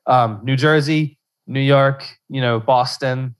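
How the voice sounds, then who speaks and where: A man's voice: mid-range voice, fairly even intonation, slow pace, smooth. Young man from Louisiana, rambling. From South Central Louisiana. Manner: rambling, lots of restarts and "like". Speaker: podcast guest. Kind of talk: casual interview chat.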